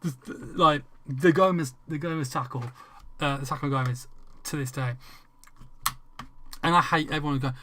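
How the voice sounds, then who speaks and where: A man's voice: fairly high pitch, steady tone, moderate pace, long pauses, a bit bright, a little hiss or hum. A man with an English accent chatting casually with viewers during a game livestream, rambling, restarting sentences and swearing freely. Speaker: streamer.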